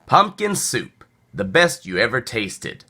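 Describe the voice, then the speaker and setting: A man reading a children's story aloud in a theatrical, deliberate narrating style. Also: medium pitch, sing-song, pushed and bright, slightly rough. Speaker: storybook reader.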